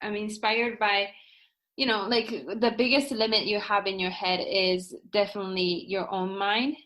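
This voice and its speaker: A woman's voice: medium pitch, fairly even intonation, normal speed, fairly bright tone. A young woman from Japan with a Japanese accent, a guest author talking casually in an interview, full of "like" and restarts. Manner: many fillers like "like" and restarts.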